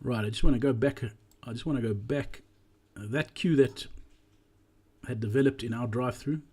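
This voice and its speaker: A man narrating a walkthrough presentation in a measured, explanatory way. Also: medium pitch, a little mellow, husky.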